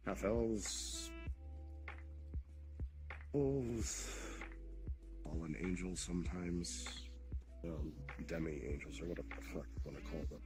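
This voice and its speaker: A man's voice: low voice, poor audio. A man voicing a demon king who explains patiently and bluntly, sometimes swearing, in a roleplay. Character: demon king, ancient creator of monsters. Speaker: roleplay player. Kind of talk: in-character roleplay dialogue. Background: background music.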